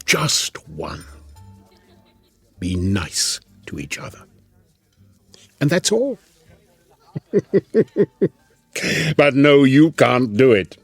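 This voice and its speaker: Mocking, husky, swooping devil. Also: male voice, mid-range voice, huge pitch swings, drawn-out words, long pauses, faint music behind, full character voice. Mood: bitter, mocking. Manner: mocking, theatrical, chatty. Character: sardonic, wheedling male devil, casual and mocking. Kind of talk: audio drama acting.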